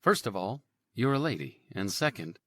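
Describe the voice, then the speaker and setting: A man voicing a blunt male medical examiner who speaks in a flat, dismissive way. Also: lowish voice, moderate pace. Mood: condescending.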